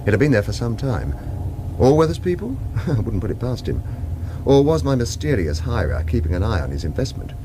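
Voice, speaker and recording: A man's voice: medium pitch, very animated, brisk, lightly acted. An actor playing a wry hard-boiled hired gunman, narrating his own radio thriller in clipped phrases. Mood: wry suspicion. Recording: noisy car sound effects behind.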